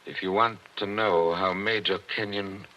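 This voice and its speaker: A lonely, genteel old attorney. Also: male voice, sits low, fairly even intonation, bright, forceful tone. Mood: wistful. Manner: measured, wistful, formal phrasing. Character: lonely older attorney haunted by the past, genteel. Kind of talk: 1940s radio drama acting. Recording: muffled old radio broadcast with faint hiss.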